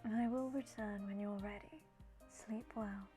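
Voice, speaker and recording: A woman voicing a courtly, melancholy, sickly male lord in a game, speaking formally and with measured politeness. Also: mid-range voice, steady tone, soft background music, natural voice. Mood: soothing. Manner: formal, measured, gently condescending endearments.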